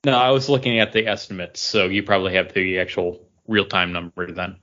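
A man's voice: sits low, fairly even intonation, fairly quick, a bit bright, dull audio. Matter-of-fact man on a podcast. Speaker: podcast co-host. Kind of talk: casual podcast chat.

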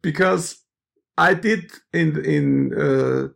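Gravelly-voiced Austrian musician guest. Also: male voice, fairly high pitch, a bit bright. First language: Styrian Austrian German. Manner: halting, restarting, laughs often. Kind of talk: casual interview.

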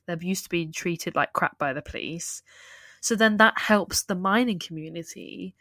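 Low-voiced woman recapping a film. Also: moderate pace, dry recording. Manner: animated retelling with laughs. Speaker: podcast co-host. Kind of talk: casual film discussion chat.

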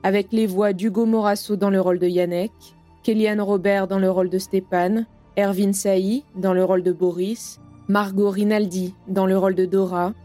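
Female announcer for audio fiction credits; flat, mellow scripted read over faint music. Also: medium pitch, moderate pace. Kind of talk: scripted narration.

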